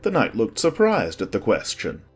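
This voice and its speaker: Low, husky, expressive male storyteller. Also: moderate pace, faint music behind. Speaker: narrator. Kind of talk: scripted storybook narration.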